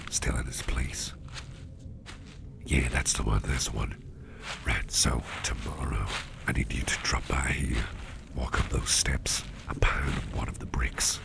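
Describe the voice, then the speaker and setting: A man playing a mischievous businessman boss in an intimate ASMR roleplay, murmuring in a halting, gravelly half-whisper. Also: very deep voice, heavily acted. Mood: confiding, covert. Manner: slow, halting, repeating phrases, softly chuckling. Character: mischievous, toothy businessman boss, affectionate and covert.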